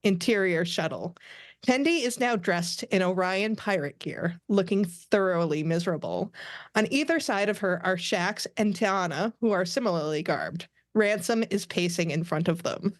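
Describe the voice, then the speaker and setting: A woman's voice: lowish voice, normal speed, no echo, studio quality. A woman reading stage directions as scripted narration for a table read.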